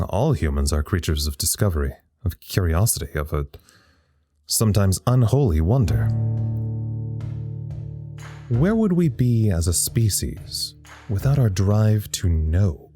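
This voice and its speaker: A man playing a wry, tired research scientist reporting live in an audio drama, halting and understated. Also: fairly low pitch, sing-song, over music. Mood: reflective, weary. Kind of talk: audio drama acting as a live radio log.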